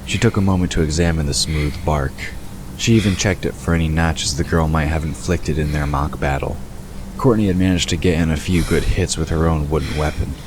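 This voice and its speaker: A man's voice: extremely low pitch, steady tone, fairly quick. A man reading scripted story narration as the narrator of an audio drama. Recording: constant ambience noise behind narration.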